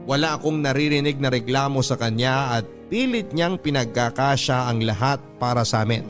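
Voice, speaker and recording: Guy reading his love-story letter out, heartfelt, music playing loud under him. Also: mid-range voice. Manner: heartfelt, addresses the host directly. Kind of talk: radio drama narration. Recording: music bed under narration.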